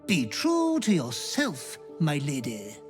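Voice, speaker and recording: An actor voicing a fawning, gleefully depraved fiendish butler creature in a video game, theatrical and florid. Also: male voice, a little high, wildly swooping pitch, takes it slowly, music in the background, exaggerated voice. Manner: theatrical, obsequious, florid wording. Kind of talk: video game character acting.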